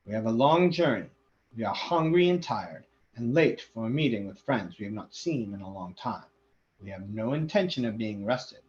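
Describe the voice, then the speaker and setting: A man voicing a calm, steady male half-elf swordsman who speaks in a dry, level way. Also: on the low side, normal speed, lightly acted. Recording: dull lo-fi recording.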